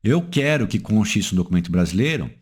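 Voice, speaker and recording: Brazilian male legal analyst, animated intonation, rhetorical questions, emphatic lecturing delivery. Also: fast talker, husky. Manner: asks rhetorical questions and answers them; emphatic, swings pitch up and down for stress.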